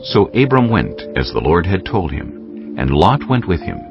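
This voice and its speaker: A man narrating a dramatized scripture recording in a measured, solemn storytelling style. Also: low voice, sing-song. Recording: muffled sound under clear music.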